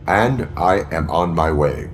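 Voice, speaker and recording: Very deep deadpan cyborg cop. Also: male voice, takes it slowly, slight background noise, heavily acted. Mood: stoic. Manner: terse, deadpan, clipped formal wording. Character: stoic male cyborg police officer.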